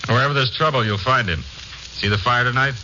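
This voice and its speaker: A man's voice: medium pitch, fast talker, sharp tone. An actor playing a gossipy, superstitious, suspicious local man in a radio drama, speaking bluntly and knowingly in short phrases. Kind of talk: 1950s radio drama acting. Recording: muffled, hissy 1950s radio recording.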